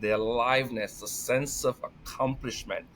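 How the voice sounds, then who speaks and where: A man with a Jamaican accent, a guest telling lively stories in conversation and laughing often. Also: mid-range voice, moderate pace, a little hiss or hum. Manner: energetic, animated, laughs often. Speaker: podcast guest. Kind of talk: storytelling conversation.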